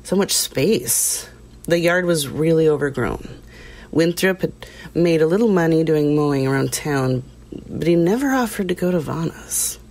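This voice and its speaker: An actress playing a weary, uneasy small-town woman, recollecting in a hesitant, plainspoken, confiding way with pauses, as testimony in an audio drama. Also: low-pitched, animated, a little mellow. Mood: subdued, haunted.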